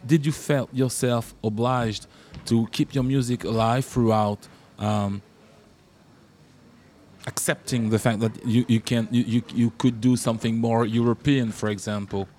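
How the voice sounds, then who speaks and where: A man's voice: mid-range voice, fairly even intonation, measured pace, a little mellow, slight background noise. A man interviewing a musician, stammering and repeating words as he builds long questions. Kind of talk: casual interview.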